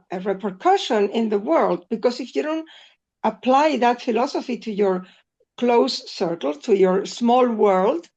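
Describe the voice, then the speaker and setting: A woman's voice: fairly high pitch, darkish tone. A Spanish-accented woman, a native Spanish speaker, joining a class discussion, earnestly explaining and searching for words. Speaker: class participant. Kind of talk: informal discussion. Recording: dull, muffled class call audio.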